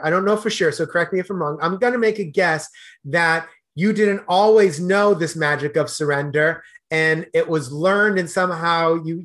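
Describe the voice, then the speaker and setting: A man's voice: high voice, fairly bright tone. A man hosting a podcast, asking questions in an earnest, hesitant way with many fillers. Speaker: podcast host. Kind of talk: casual interview questions.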